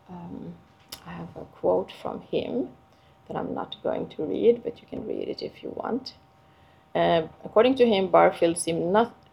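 Low, gravelly woman. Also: warm tone. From Finland. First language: Swedish. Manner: hesitant, many filler sounds and restarts. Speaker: conference speaker. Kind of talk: academic conference paper.

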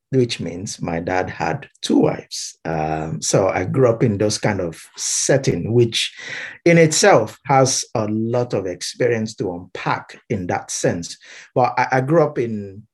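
Low, husky Nigerian-accented man. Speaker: interview guest, Baptist minister. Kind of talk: reflective interview conversation.

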